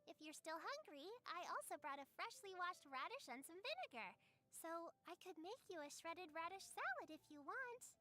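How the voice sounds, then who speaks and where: Sweet caring little game girl, super high and really animated. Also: moderate pace, soft background music, full character voice. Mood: polite, caring. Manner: polite, nurturing, childlike eagerness. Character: cheerful caring little girl, young adepti disciple. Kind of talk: video game character voice lines.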